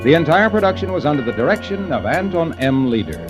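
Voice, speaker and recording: A man's voice: on the high side, lively intonation, fast, music in the background. Emphatic 1940s radio announcer, man. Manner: polished, emphatic, salesy.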